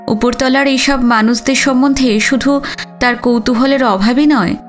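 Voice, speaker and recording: High-pitched woman narrating a story. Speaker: audiobook narrator. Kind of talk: dramatic literary story narration. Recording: music under the voice. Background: background music.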